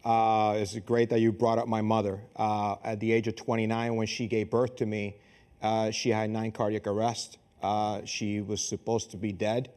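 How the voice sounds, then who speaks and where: A man from Puerto Rico, a life coach and guest, answering interview questions earnestly and at a measured pace. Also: on the low side, little pitch movement, a little mellow, clear, resonant tone. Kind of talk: interview conversation.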